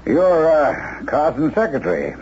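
An actor playing a shady, pushy businessman in a 1970s radio drama, speaking in a halting, insinuating way. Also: on the high side, very animated, a little mellow, slight background noise. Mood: smooth, insistent. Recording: dull vintage radio recording.

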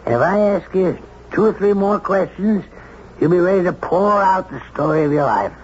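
An actor playing a brash, domineering old gentleman who needles and pries in a 1970s radio drama. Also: high voice, lively intonation, moderate pace. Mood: brash, prying. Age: older. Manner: blunt, needling, insistent. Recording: muffled, noisy 1970s radio recording.

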